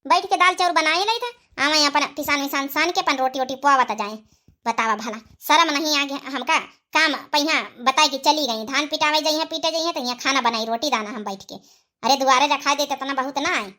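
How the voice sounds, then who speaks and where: Woman acting a grumbling old Awadhi mother-in-law, bright-voiced. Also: sits high, fairly quick. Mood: aggrieved. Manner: complaining, muttering, exasperated. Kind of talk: comic cartoon skit.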